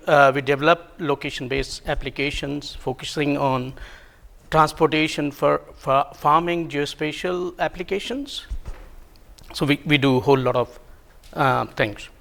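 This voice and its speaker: Hindi-native guy giving a conference talk, slightly high voice, lots of ums n restarts, some vocal fry. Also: fairly bright tone. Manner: halting, many ums and self-corrections, light self-deprecating humor. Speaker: conference presenter. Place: conference room.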